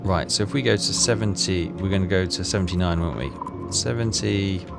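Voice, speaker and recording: A man doing casual game commentary and reading text aloud, haltingly, muttering his thoughts as he goes. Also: deep voice, creaky. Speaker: video game walkthrough player. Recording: voice under loud game music. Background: loud game music.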